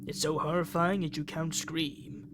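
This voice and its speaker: High-voiced man reading game text aloud. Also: fairly even intonation, a little slow, background noise. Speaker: gaming video host. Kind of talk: dramatic read-aloud of game text with casual asides.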